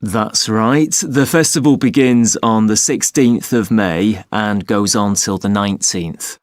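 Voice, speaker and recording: A man's voice: fairly low pitch, a little slow, no echo, studio quality, close to an everyday voice. Man acting a polite box office clerk. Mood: helpful, polite. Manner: clear, courteous, informative. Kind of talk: scripted listening-test phone dialogue.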